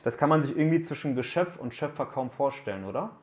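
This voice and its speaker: A man's voice: mid-range voice, lively intonation, quick pace. A man in his thirties gives a casual talk to young people at a camp, joking and asking the audience questions. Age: 30s. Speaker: youth speaker at a camp. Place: room with a live audience.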